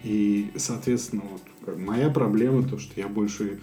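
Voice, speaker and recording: A man from Russia co-hosting a podcast, talking in a thoughtful, halting way with many fillers. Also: on the low side, warm tone, soft background music. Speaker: podcast co-host. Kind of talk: casual conversation.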